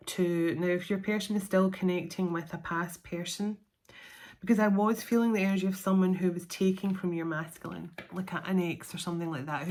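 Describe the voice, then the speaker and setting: A woman's voice: lowish voice, darkish tone. A middle-aged woman doing a solo tarot reading to camera, chatty and self-deprecating, laughing often between cards. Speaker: tarot reader.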